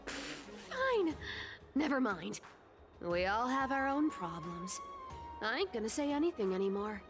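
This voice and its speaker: Sly, swooping, playful game demigoddess. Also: female voice, on the high side, big pitch swings. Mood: teasing, flippant. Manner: teasing, flippant, drawling then quick. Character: sly, playful, money-minded young demigod ferrywoman. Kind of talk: video game voice acting. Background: game music.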